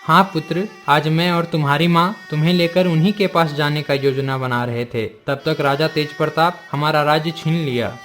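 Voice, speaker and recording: A man's voice: on the high side, fairly even intonation, normal speed, few pauses, a bit bright, soft background music, lightly acted. A man playing a patient, forgiving exiled king and father in a cartoon moral story, with formal, respectful speech. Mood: gentle, regretful.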